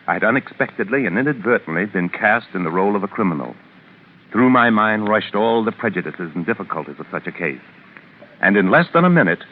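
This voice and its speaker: An actor playing a proud, calculating New York criminal lawyer with a guilty secret, narrating in a measured, articulate 1940s radio drama style. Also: male voice, fairly low pitch, a little hiss or hum, natural voice. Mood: coldly analytical. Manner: measured, articulate, self-important. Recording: narrow, muffled vintage radio recording with music.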